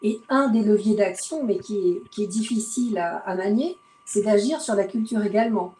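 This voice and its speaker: Female panel guest, warm voice, deliberate delivery, roomy echo, faint hum. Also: mid-range voice. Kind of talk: casual panel discussion. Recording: echoey room with faint hum.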